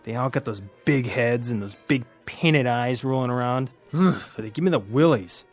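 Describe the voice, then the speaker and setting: A man's voice: mid-range voice, moderate pace, faint music behind, lightly acted. A man playing a pushy, dismissive bar patron on a date in a radio play, slangy and offhand. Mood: pushy, cajoling. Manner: slangy, offhand wise guy.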